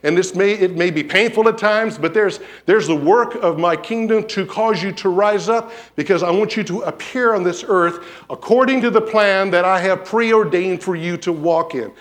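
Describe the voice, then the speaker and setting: A man's voice: high-pitched, moderate pace, few pauses. A man preaching a sermon in a church, telling stories and acting out what people said. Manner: animated storyteller, acts out quotes. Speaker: pastor. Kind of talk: sermon with storytelling. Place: church sanctuary.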